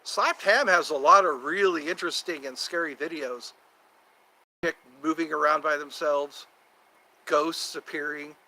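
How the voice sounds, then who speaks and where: A man's voice: fairly high pitch, a little slow, bright, forceful tone, rough recording. A man in his fifties hosting a casual livestream, chatting to viewers in a meandering way and slipping into quoted voices. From United States. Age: 50s. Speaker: livestream host.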